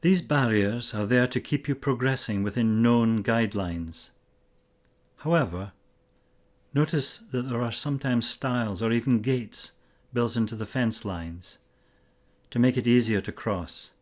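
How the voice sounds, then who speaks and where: A man narrating a scripted guided meditation in a measured, instructional way with pauses between phrases. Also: fairly low pitch.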